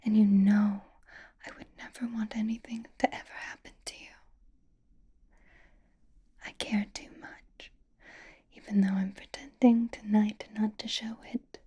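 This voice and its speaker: A woman playing a seductive, possessive female vampire in an ASMR roleplay, teasing and doting in a soft, breathy whisper. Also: medium pitch, little pitch movement. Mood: pleading, protective. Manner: teasing, doting, slow and deliberate.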